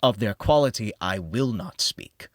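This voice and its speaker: A man narrating a gothic horror audiobook in a solemn, theatrical manner with deliberate pauses. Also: fairly low pitch, expressive, no echo.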